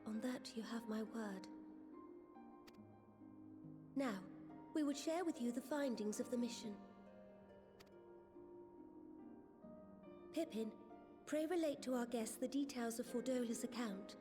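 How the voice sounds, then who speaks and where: Game role: stately woman monarch played by an actress, fairly high voice, formal, clear score behind. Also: moderate pace, long pauses, natural voice. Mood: composed. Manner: regal, formal. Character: gracious, formal female ruler, solemn and assured. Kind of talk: dramatic video game cutscene acting. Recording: orchestral music behind the voice.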